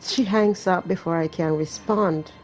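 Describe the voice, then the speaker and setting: A woman's voice: lowish voice, a little slow, darkish tone, faint music behind, low-quality recording. A woman reading game text aloud as a let's play streamer, breaking off for casual, sarcastic, wry commentary. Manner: sarcastic, wry, swearing asides.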